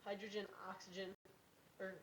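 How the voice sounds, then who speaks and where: A woman's voice: medium pitch, little pitch movement, poor audio. Hesitant female student presenter, faint noise. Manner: hesitant, halting. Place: meeting room.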